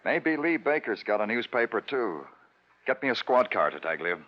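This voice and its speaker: A man's voice: mid-range voice, sing-song, moderate pace, natural voice. A man acting a brooding New York police detective in a vintage radio crime drama, with an American accent and a terse, pensive delivery. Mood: brooding, intent. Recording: muffled vintage radio recording, hiss.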